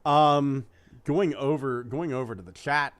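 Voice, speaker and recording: A middle-aged man hosting a podcast, grumbling about prices with profane, animated storytelling. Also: mid-range voice, unhurried, clear, resonant tone. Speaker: podcast host. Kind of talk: casual podcast chat.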